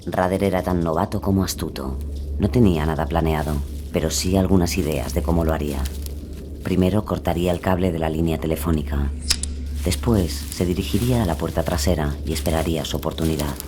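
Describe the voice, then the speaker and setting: A man's voice: sits low, a little fast, noisy background. A man narrating a crime dramatization in a grave, suspenseful scripted style. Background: dramatic music.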